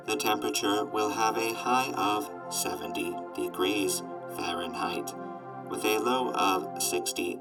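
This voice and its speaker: A man voicing an emotionless robot that reads a scripted weather forecast in an audio drama, mechanical and literal. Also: low voice. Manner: monotone, mechanical, literal, halting. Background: new-age music.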